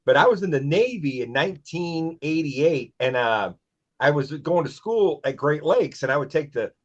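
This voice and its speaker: A man's voice: a little high, lively intonation, moderate pace. Dull-sounding recording of a sixty-year-old man. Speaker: podcast co-host. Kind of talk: casual chat, reminiscing.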